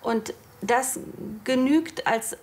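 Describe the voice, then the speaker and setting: A woman teacher speaking earnestly and deliberately in an interview. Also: high voice, darkish tone.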